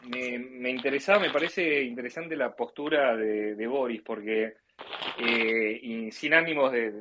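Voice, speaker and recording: A man's voice: mid-range voice, measured pace, noisy background. A man from Argentina with an Argentine accent speaks casually in a political discussion, often hesitating and rephrasing as he goes. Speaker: caller in a live audio discussion. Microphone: live online audio chat, close and dry. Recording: dull lo-fi online audio.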